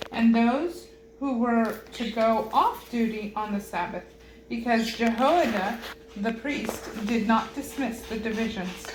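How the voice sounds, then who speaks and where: Halting woman reading scripture in a noisy, echoey room. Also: a little high. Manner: halting, stumbles over unfamiliar names. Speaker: Bible reader. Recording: roomy echo with loud background noise.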